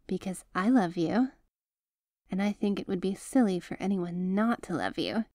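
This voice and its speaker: A woman's voice: mid-range voice, dark tone, no echo, studio quality, lightly acted. A woman playing a doting, bashful yet possessive young mafia heiress in an intimate ASMR roleplay, speaking to the listener in a hesitant, coaxing way. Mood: tender, earnest. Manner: hesitant, coaxing, affectionate, halting phrasing.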